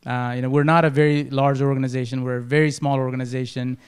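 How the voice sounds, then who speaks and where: A man from Nepal pitches for a non-profit in an informal, hesitant manner. His voice is smooth and clear, at a medium pitch. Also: little pitch movement, quick pace. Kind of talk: informal spoken presentation.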